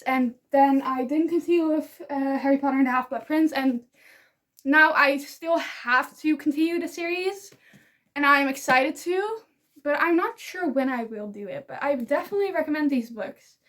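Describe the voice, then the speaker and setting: A young woman whose first language is Dutch, with a notably high voice. She talks casually and enthusiastically, rambling and giggling as she recommends books in a relaxed vlog. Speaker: book vlogger. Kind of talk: casual book review vlog.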